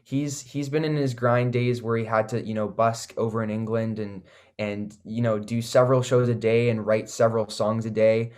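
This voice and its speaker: Male music artist, Canadian accent, lowish pitch, casual and enthusiastic interview delivery with loose, searching phrasing. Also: flat delivery, moderate pace, a little mellow. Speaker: recording artist, interview guest.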